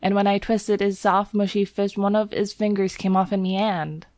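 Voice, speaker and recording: A woman's voice: medium pitch, flat delivery, normal speed, darkish tone, no echo, clean studio sound, pitched above the performer's own voice, natural voice. A woman voicing a young Cockney bellboy, a former soldier, who drops his h's and keeps saying sir. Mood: uneasy.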